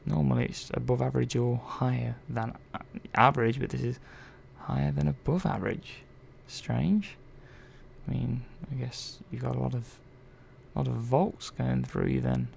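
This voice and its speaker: A man playing a curious, gently chatty robot repair technician in a soft-spoken ASMR roleplay, murmuring and thinking aloud in an unhurried, hesitant way. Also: mid-range voice, vocal fry. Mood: curious, puzzled. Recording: faint background noise.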